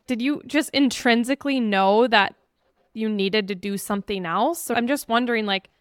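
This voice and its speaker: A woman's voice: on the high side, normal speed, clean tone, no room sound, clean studio sound. A woman hosting a podcast in a casual, conversational interview style, effusive and encouraging. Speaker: podcast host.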